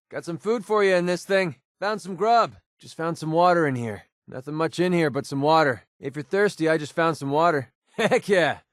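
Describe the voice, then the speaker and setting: Game companion role: male actor as young male mercenary, wry and prickly with a soft side, conversational, expressive, medium pitch, dry studio sound. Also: no room sound, close to an everyday voice. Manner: sarcastic, conversational, emotionally expressive. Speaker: video game companion character. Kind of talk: naturalistic video game voice acting.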